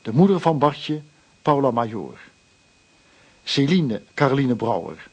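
A man reading scripted radio credits as an announcer, in a formal, measured way. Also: medium pitch, very animated, a little mellow, breathy edge.

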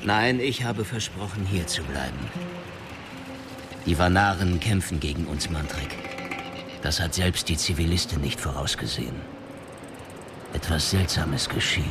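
Deep-voiced, grave secret agent. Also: male voice, unhurried, pauses often. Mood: grave, worried. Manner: earnest, foreboding. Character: grave, loyal male secret agent, old comrade. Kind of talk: radio play acting. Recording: music behind the voice.

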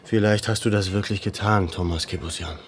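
An actor playing a composed, probing Armenian gentleman in a radio drama, with measured, deliberate speech. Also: lowish voice, fairly even intonation, close to an everyday voice. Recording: faint noise behind.

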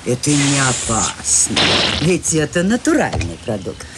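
Slow-spoken, eccentric old woman. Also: rumbling low, huge pitch swings, full character voice. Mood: playful. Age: older. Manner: playful, musing, savoring her words. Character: eccentric, cheerful, life-loving old woman. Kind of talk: radio play acting. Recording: clearly noisy radio play recording.

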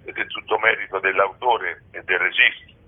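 Man, theatre interview guest, lowish pitch, thin telephone sound, conversational reflection. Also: fairly even intonation, moderate pace, slightly rough. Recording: muffled phone line on radio.

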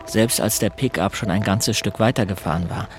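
An actor playing a male narrator who recalls his rural boyhood in measured, observant literary storytelling for an audio drama. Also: fairly low pitch, natural voice. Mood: reflective.

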